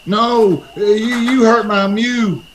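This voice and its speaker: A highish, slow male voice with faint noise behind it. He is a timid, simple soul in a radio Western, gentle and halting. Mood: frightened, pleading. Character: meek, simple, gentle man of the frontier. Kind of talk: old-time Western radio acting.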